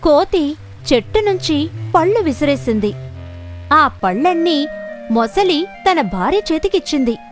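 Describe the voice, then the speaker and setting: High-pitched, animated woman storyteller. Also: a little slow, pauses often. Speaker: narrator. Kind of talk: scripted children's story narration. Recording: music behind the voice.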